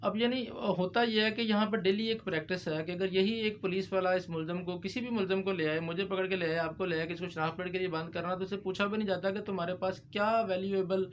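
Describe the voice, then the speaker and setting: A man's voice: high voice, steady tone, fast, few pauses. A man explaining legal procedure as a lawyer in discussion, mixing in English legal terms. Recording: clear background noise.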